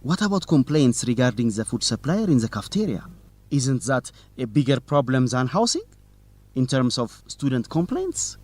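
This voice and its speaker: Young student journalist in a radio drama, polite and formal, up-and-down intonation, really dry audio. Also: male voice, mid-range voice, big pitch swings, moderate pace, a little mellow, no room sound. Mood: probing. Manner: formal, courteous, probing. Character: polite, persistent young male student newspaper reporter. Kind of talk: scripted educational radio drama, interview dialogue.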